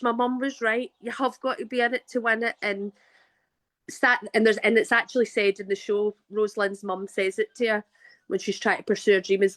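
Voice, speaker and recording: A Glaswegian woman in her thirties, an actress telling a story in a casual interview, chatty with frequent restarts. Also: medium pitch. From Scotland. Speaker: guest actress.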